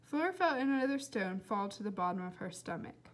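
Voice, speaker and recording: A woman narrating a children's novel as an expressive read-aloud. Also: medium pitch, a little fast. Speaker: storybook reader.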